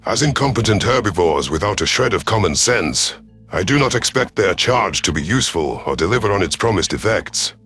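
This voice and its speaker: A man reading a first-person science fiction story as a stern alien commander, with formal, measured wording. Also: deep voice, a bit bright. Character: stern alien reptilian military commander narrating. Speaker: audiobook narrator of science fiction.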